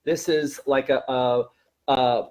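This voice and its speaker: A man with an average-pitched voice presents a webinar for language teachers. His delivery is informal and instructional, explaining methods with clear emphasis. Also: steady tone, takes it slowly, a little mellow.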